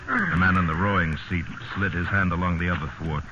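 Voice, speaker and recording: A man acting as the grave, measured narrator of a sea survival tale in a 1950s radio drama. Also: deep voice, steady tone, very noisy, natural voice. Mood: solemn. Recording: muffled old radio recording, loud music behind.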